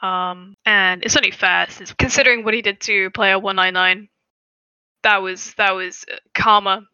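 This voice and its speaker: Woman podcaster, very bright clear voice, stumbles over words, dull dry mic. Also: medium pitch, steady tone, moderate pace, dry recording. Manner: stammering, hesitant, many fillers. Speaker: podcast co-host. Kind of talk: casual chat about a TV series.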